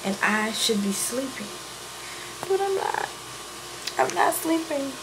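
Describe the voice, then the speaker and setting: A woman's voice: high voice, background noise. Chatty young woman vlogging on her phone. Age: young adult. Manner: relaxed, chatty, drawn-out fillers. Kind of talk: casual vlog talk to camera. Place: small bedroom. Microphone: phone held close.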